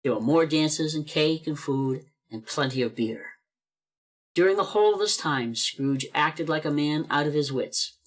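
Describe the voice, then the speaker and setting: A man narrating an amateur radio play with expressive storytelling, read over a video call. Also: a little high, normal speed.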